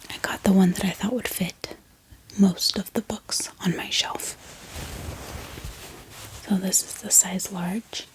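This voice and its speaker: A woman speaking in a soft, gentle ASMR whisper, musing aloud in an unhurried way as she hosts. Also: mid-range voice, fairly even intonation, poor audio. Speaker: ASMR book haul host.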